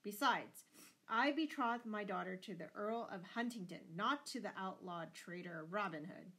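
A woman's voice: medium pitch, lively intonation, normal speed, sharp tone. A woman voicing a furious, tyrannical noble father in a storybook, bellowing and thundering with indignation. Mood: angry, insistent. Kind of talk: dramatised storybook reading.

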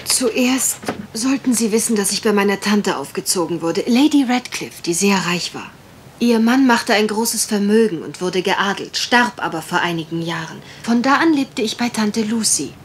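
Actress as a genteel, worried young country heiress. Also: female voice, medium pitch, animated, normal speed, a little hiss or hum, lightly acted. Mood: earnest, explaining. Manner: polite, hesitant, well-spoken. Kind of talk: German radio mystery play acting.

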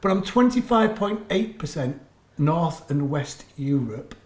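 A man in his forties, reading out results in a low-key, laid-back way at a kitchen table. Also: sing-song, measured pace, echoey room. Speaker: co-host, partner. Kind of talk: casual chat reading results. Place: kitchen table at home, indoors.

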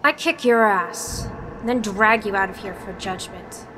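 A woman's voice: medium pitch, measured pace, background noise, close to an everyday voice. An actress playing a determined, earnest young female spirit in a radio play, firm and tough. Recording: voice over clear music.

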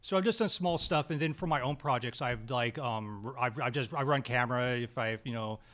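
A man being interviewed about his film work, talking casually in a halting, rambling way with many restarts, recorded on a desk stand mic in a small studio. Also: medium pitch, a bit bright. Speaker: guest actor and screenwriter.

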